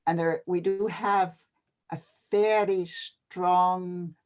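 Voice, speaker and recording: An older person from Canada, talking casually and hesitantly with many pauses and fillers. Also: medium pitch, fairly even intonation. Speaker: retired NGO advisor. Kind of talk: casual video-call conversation.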